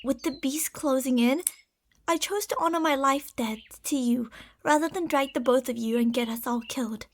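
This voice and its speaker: An obsessive lamia beast-woman, played by a woman, speaks in a high, soft voice. Her phrasing is formal and soothing, dipping into whispers in intimate ASMR roleplay style. Beneath the tenderness she is possessive and deceitful. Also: moderate pace. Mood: solemn, apologetic.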